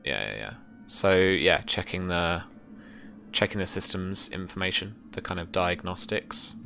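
A young man improvising tabletop game narration as game master, halting and thinking aloud. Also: low voice, lively intonation, vocal fry, over music. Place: small room at home, indoors.

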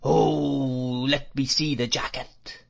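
A man's voice: medium pitch, vocal fry. A man voicing a fierce old drunken shopkeeper from a storybook, with a rattling throat and a sing-song whine. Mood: fierce, demanding. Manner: monotonous sing-song whine, rising then falling, drawn-out exclamations.

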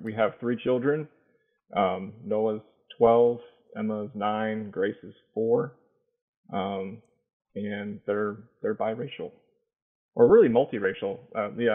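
An American man, a guest on a podcast, speaking slowly and deliberately with many pauses. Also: lowish voice. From United States. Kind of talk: conversational interview. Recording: phone-like narrow sound.

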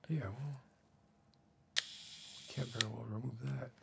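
A man in middle age playing a menacing, sardonic backwoods crime-family man in an ASMR roleplay, gravelly and hushed, with slow folksy phrasing. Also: medium pitch, fairly even intonation. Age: middle-aged. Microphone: close ASMR microphone. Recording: close ASMR mic, loud hiss, clicks.

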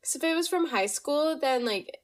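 A woman's voice: sits high, normal speed. A young woman hosting a podcast, chatting casually and reading listener stories, her voice slightly nasal from allergies. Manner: chatty, animated, reads listener stories aloud. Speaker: podcast host. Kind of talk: casual storytime chat.

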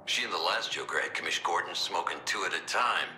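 An actor playing a gruff, hostile military officer in a video game, gravelly, menacing and scornful. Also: male voice, sits low, brisk, pushed and bright, reverberant, a little hiss or hum. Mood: irritated. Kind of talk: dramatic video game voice acting.

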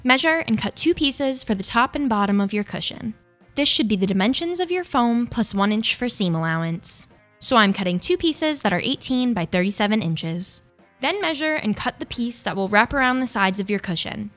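A woman's voice: a little high, animated, moderate pace, fairly bright tone. A young woman hosting a craft tutorial, reading scripted step-by-step instructions. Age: young adult. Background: background music.

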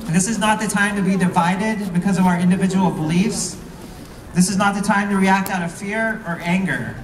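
High-voiced man orating, distant and echoey. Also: steady tone. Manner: earnest, deliberate oratory. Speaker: rally speaker. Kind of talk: prepared speech at a rally. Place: outdoor rally, recorded from within the crowd. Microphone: PA heard from far camera. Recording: distant, echoey outdoor PA with noise.

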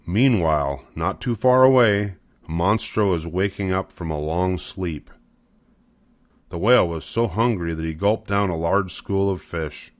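An older man reads a children's story as its narrator. His voice sits fairly low and is recorded close and dry, with scripted storybook phrasing. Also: measured pace, no echo.

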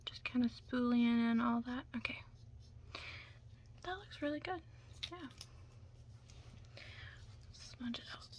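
A young woman doing an ASMR roleplay as a makeup stylist, speaking softly and breathily, often in a whisper, with reassuring asides. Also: on the high side, slow pace, pauses often. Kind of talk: ASMR roleplay, close personal attention. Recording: close-up with clear background noise.

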